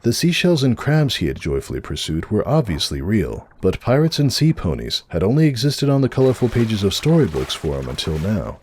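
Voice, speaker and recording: A man's voice: fairly low pitch, big pitch swings, a little fast, hardly any pauses, a little mellow, a little hiss or hum. A man narrating a story in a dramatic reading style.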